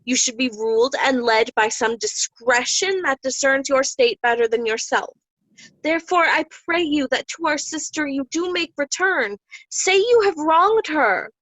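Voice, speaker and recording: A woman's voice: high voice, a little slow, fairly bright tone, no echo, rough recording. A woman playing a cool royal daughter, formally admonishing her old father in Shakespearean style. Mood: reproving. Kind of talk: Shakespearean reader's theatre acting.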